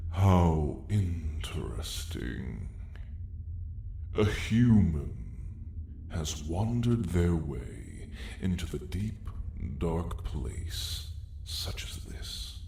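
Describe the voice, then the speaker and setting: A man voicing an ancient, arrogant dragon roused in his lair, speaking with slow, imperious menace in an ASMR roleplay story. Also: low voice, big pitch swings, vocal fry, dark tone, pitched below the performer's own voice, full character voice. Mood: mocking, intrigued. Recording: echoing cavernous sound, faint noise.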